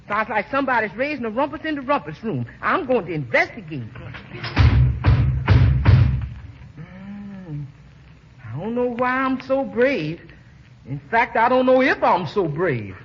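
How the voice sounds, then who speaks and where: A sassy, bossy housekeeper in a 1940s radio comedy. Her folksy delivery leaps up and down with plenty of exclamation. The recording is muffled, with heavy old broadcast hiss. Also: on the high side. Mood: nervous. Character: sassy, scolding woman housekeeper, jumpy but bold.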